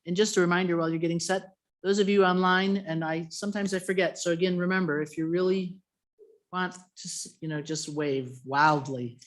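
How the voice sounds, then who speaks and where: A committee chair running a legislative meeting, calling on speakers in a brisk, informal way. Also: mid-range voice, fairly even intonation. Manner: brisk, informal, joking with attendees. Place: legislative committee hearing room.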